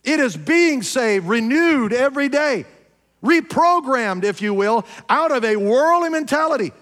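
A fifty-year-old man preaching a sermon to his congregation, emphatic, swinging between loud peaks and quiet asides and asking them questions. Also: very high-pitched, expressive, unhurried. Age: 50s. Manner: addresses congregation directly with questions. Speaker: pastor. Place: church sanctuary.

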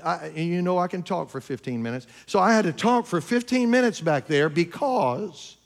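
High-voiced fifty-year-old man preaching. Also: lively intonation, normal speed, creaky. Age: 50s. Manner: emphatic preaching, swinging between loud peaks and low asides; addresses congregation directly with questions. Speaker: pastor. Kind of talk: sermon. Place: church sanctuary.

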